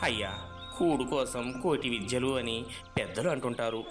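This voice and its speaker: A man's voice: mid-range voice, normal speed, close to an everyday voice. A man voicing a humble, poor young village lad seeking work, deferential and pleading, in a moral story. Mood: earnest. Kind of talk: dramatized moral story dialogue. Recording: music behind the voice. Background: background music.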